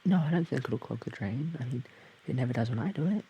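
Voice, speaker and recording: A man's voice: medium pitch, lively intonation, fast talker, creaky, warm tone. A man voicing a shy, awkward, friendly slime creature, stammering hesitantly in an audio roleplay addressed to the listener. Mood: awkward, earnest. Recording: hissy background noise.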